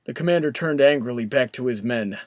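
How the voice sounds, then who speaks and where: A man's voice: mid-range voice, a little fast, no echo. A man reading scripted story narration as the narrator of an audiobook. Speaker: narrator, fan fiction reader. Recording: clearly noisy background ambience.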